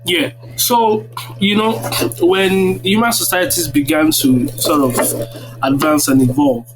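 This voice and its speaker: A man's voice: on the high side, steady tone, slow pace. Nigerian man explaining over noisy audio. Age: 30s. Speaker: guest, university lecturer. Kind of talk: explanatory interview answers. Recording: very noisy online audio-room connection.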